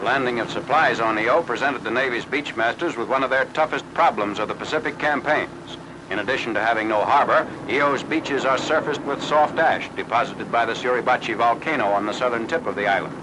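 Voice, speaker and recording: A man's voice: mid-range voice, a little fast. An American man reads scripted narration as a 1940s newsreel announcer, in a formal, clipped military delivery. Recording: noisy 1940s newsreel film soundtrack.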